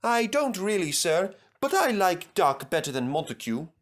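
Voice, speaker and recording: A man voicing a polite, self-assured male tank engine with a calmly firm manner in a children's storybook. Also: high-pitched, moderate pace, voice pushed up.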